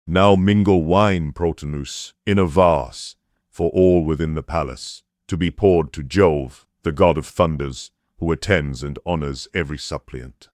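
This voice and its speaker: A man playing a reverend, commanding king in an epic audio drama, with measured and stately delivery. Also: a bass voice, darkish tone, dry recording, clean studio sound.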